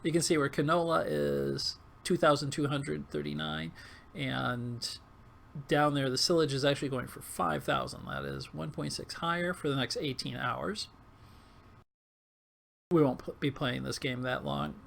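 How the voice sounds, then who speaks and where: A man with a coarse, hoarse, failing voice giving casual, halting commentary over gameplay. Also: mid-range voice, steady tone, fairly bright tone, slight background noise. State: hoarse, losing his voice. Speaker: gaming video host.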